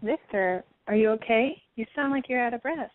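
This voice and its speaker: An actress playing a caring suburban housewife of about thirty in a stage play. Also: female voice, fairly high pitch, normal speed, lightly acted. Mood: concerned. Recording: tinny phone-line audio.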